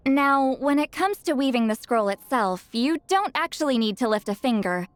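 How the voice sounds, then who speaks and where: A woman's voice: high-pitched, lively intonation, normal speed, smooth, soft background music. A woman playing an aloof, self-assured, slightly prickly young shaman in a video game, with a dry, offhand delivery.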